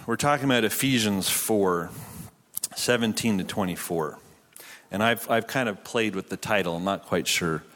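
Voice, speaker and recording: A man's voice: lowish voice, moderate pace, fairly bright tone. A man with a Canadian English accent, a pastor giving a conversational, informal sermon with a joking, self-deprecating manner. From Quebec, Canada. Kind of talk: conversational sermon to a live audience. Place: large hall, speaking to an audience. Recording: long hall reverb.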